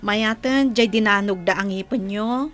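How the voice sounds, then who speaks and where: An actress playing a gently concerned woman in an Ilocano radio drama, solicitous in her manner. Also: medium pitch, a little slow, faint music behind, lightly acted. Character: concerned woman checking on an old man.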